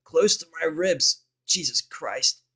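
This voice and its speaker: A man voicing a grumpy, foul-mouthed story character with a soft spot, gruff and sarcastic. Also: on the high side, animated, slow pace, voice pushed up, exaggerated voice. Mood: pained.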